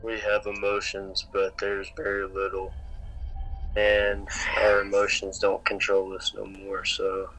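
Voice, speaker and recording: Man playing a flat, slow, menacing killer clown. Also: on the low side, soft background music, poor audio. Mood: grim, halting. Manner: terse, halting, flat, threatening. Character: menacing male killer clown, vengeful and grim. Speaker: phone interviewee.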